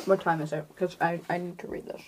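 A woman's voice: lowish voice, fairly even intonation, fast talker, a loud crowd. A giggly, playful young woman talking casually as game master on a tabletop game podcast among friends.

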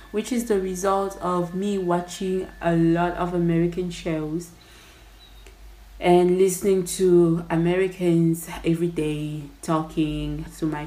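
A woman's voice: fairly low pitch, warm tone, roomy sound, low-quality recording. Congolese woman with a French-tinged accent. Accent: French-tinged Congolese English. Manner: reflective, thinking aloud. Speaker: podcast host. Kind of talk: casual behind-the-scenes monologue.

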